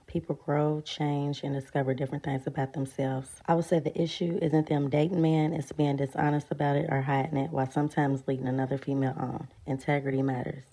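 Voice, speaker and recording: A woman hosting her channel, giving a measured, scripted opinion to her viewers. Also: low-pitched. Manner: measured, even-toned opinion piece. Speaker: channel host. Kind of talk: scripted commentary.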